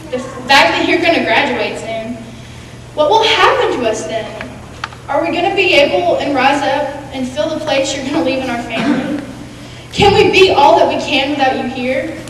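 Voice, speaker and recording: A young woman with a high voice recites a prepared monologue. She is picked up from far away in a very echoey hall, with clear background noise. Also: a bit bright. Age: teenager or young adult. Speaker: student performer. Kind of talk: readers theatre monologue, recited.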